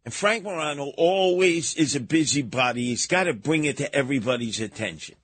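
Bright, forceful male radio host. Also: on the high side, animated, a little fast. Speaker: radio talk show host. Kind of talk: casual radio banter, mocking commentary.

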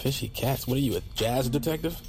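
An actor with an American accent playing a wry, sarcastic, easily flustered amateur bounty hunter in a comedy audio drama. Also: male voice, mid-range voice, a little fast, over music, close to an everyday voice. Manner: sarcastic, wry, foul-mouthed.

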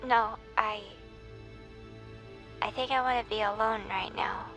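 Downcast, higher-pitched teenage girl played by a woman. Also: fairly even intonation, measured pace, fairly bright tone, soft background music. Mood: apologetic, reluctant. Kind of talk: naturalistic scripted audio drama acting.

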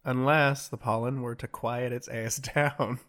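A man's voice: mid-range voice, fairly even intonation, a little slow, a bit bright. A young man co-hosting a podcast, slightly congested, chatting with mock-posh, dramatic humor. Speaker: podcast co-host. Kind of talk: casual chat.

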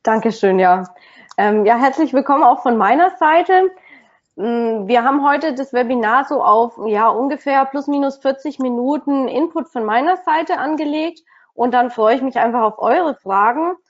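Brief: female agency owner giving a webinar, fairly high voice, dull lo-fi audio. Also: moderate pace. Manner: friendly, hesitant with many fillers. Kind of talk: presenting a webinar talk.

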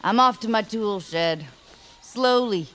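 A woman playing an eccentric, excitable small inventor creature with a creaky voice and theatrical, self-important phrasing, in a cartoonish audio drama. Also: medium pitch, slow. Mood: smug, grandiose. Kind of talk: cartoonish audio fiction acting.

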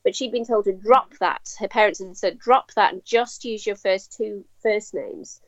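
A woman talks as a guest academic, measured and reflective, often restarting her sentences. Her audio is dull and lo-fi, with no room sound at all. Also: medium pitch, no echo. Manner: measured, thoughtful, with frequent ums and restarts. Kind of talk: explanatory interview talk.

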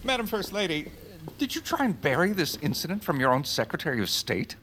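Bright, forceful, pompous statesman. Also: a little high, sing-song, a little fast, slight background noise. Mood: indignant. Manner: pompous, accusatory, theatrical. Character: indignant, scheming male Secretary of State. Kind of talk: audio drama acting.

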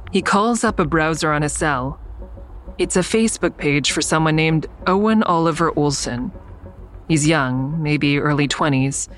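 An actress playing an observant investigator who narrates in a measured, descriptive way in an audio drama. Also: female voice, deep voice. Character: observant woman narrating an investigation in first person.

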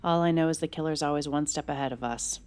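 An actress plays a caring, protective woman in an audio drama. Her voice sits a little low, and she speaks earnestly and naturally, with a cautioning, concerned manner. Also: fast, a little mellow, smooth, natural voice. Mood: worried, urging. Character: worried, protective older-sister type, caring mother.

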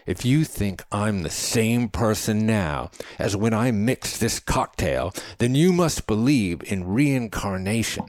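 A man's voice: on the low side, animated, slow, hardly any pauses, a little mellow, no room sound, pitched above the performer's own voice. A man voicing a feisty, philosophical old man in an audiobook, lecturing with precise, scholarly diction. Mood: impassioned lecturing. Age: older. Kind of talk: audiobook character dialogue.

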